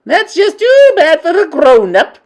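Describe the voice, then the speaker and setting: A woman voicing a tyrannical female witch leader who barks orders in a shrill, screeching voice. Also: huge pitch swings, a little slow, a little mellow, full character voice. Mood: commanding. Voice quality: screeching, commanding.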